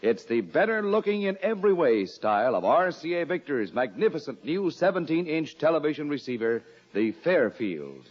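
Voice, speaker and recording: A man's voice: on the high side, big pitch swings, normal speed, few pauses. A man with an American accent reading a scripted 1950s radio advertisement in a polished salesman's delivery. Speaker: commercial announcer. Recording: dull lo-fi radio, long hall reverb.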